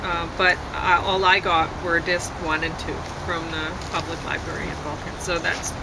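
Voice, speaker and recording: A woman in casual, unscripted chat, speaking hesitantly and meandering with pauses. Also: lowish voice, little pitch movement, creaky. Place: outdoors on a deck. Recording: loud outdoor ambience and traffic noise.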